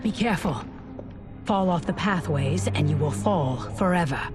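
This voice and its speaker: Actress as a low-voiced, resolute woman fighter. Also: expressive, natural voice. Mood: composed. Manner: measured, formal, assured. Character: resolute woman resistance fighter, composed and commanding. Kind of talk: video game cutscene acting. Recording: loud music over the voice.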